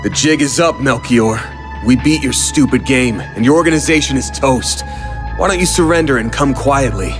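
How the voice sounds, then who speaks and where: A man's voice: medium pitch, moderate pace, natural voice. A man voicing a brash, hot-headed young hero in a video game, blunt and urgent in delivery. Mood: defiant. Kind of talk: dramatic English game dub acting. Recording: music under the dialogue.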